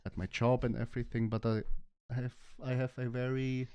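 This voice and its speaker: A man with a calm, soothing voice, chatting casually in a live crypto AMA and laughing often. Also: medium pitch, normal speed, dull audio, slight background noise. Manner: laughs often while talking. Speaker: crypto project team member.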